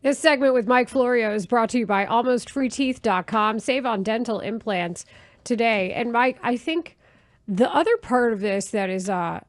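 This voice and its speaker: A woman's voice: a little high, normal speed, no echo. A woman with an American accent hosting a sports radio show in a conversational interviewing style.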